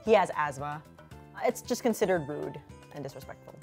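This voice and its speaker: A woman's voice: sits low, lively intonation, moderate pace, faint music behind. A young adult woman with a Filipino accent, co-hosting a casual travel chat and saying "like" a lot. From Philippines. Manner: chatty, uses "like" often. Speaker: co-host. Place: indoor studio set with a sofa.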